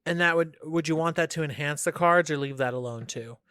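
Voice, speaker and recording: Guy cohosting a podcast, forward bright voice, very dry close sound, casual brainstorming. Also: a little high, brisk, clear, resonant tone, dry recording. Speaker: podcast co-host.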